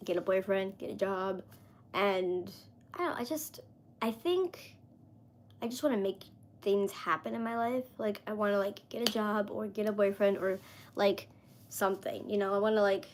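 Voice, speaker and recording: A woman in her mid-thirties chatting casually on a live stream, rambling with lots of fillers as she talks to viewers. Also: medium pitch. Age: 30s.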